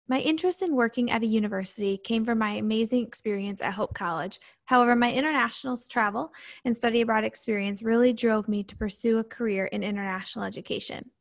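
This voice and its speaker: A woman from Michigan hosts a university podcast, reading her prepared intro in an upbeat, earnest way. Her voice sits a little high, and a gravelly fry runs under her words. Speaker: podcast host.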